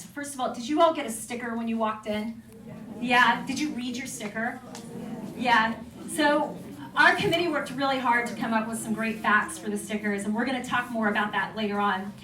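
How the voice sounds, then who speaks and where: A woman with a fairly high voice is emceeing a live event. She speaks informally and effusively to the room. The sound is echoey and a little distant from the mic, with faint noise. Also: fairly bright tone. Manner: enthusiastic, effusive. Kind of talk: informal welcome speech to a live audience. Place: indoor event room with an audience. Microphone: stage microphone.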